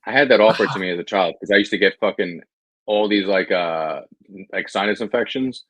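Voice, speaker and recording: Husky low-voiced man, blunt and profane. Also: a little fast, fairly bright tone, no room sound, poor audio. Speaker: podcast guest, actor. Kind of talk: casual chat. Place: home room, indoors.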